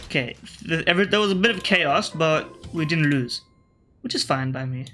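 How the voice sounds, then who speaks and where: A man's voice: fairly high pitch, lively intonation, moderate pace, pushed and bright, soft background music. A man from Australia with an accent shifted by British media, talking through a strategy game in casual commentary. He thinks aloud, corrects himself and tosses wry asides at his opponents. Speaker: gaming video creator.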